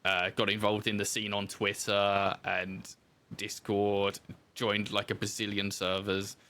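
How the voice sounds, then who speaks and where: A man's voice: on the low side, completely flat delivery, unhurried, sharp tone. A man telling his story in casual interview chat, informal and self-deprecating, as a podcast guest who designs games.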